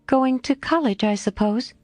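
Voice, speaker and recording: A woman's voice: high-pitched, moderate pace, a little mellow, no room sound, pitched above the performer's own voice, natural voice. A woman voicing a shy, earnest young governess in a storybook reading. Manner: bashful, earnest.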